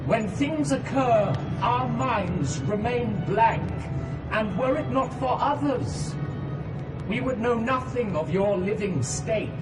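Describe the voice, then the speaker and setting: An actor playing a contemptuous damned soul who calls from a burning tomb, haughty and theatrical in an audio drama. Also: male voice, high-pitched. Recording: distant echoing voice under loud music.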